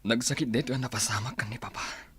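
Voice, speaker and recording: A man's voice: medium pitch, fast talker, fairly bright tone, a little hiss or hum, lightly acted. Man playing a grieving, bitter young lawyer son. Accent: Ilocano. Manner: sorrowful, bitter. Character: grieving male attorney, son of slain judge. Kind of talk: Ilocano radio drama acting.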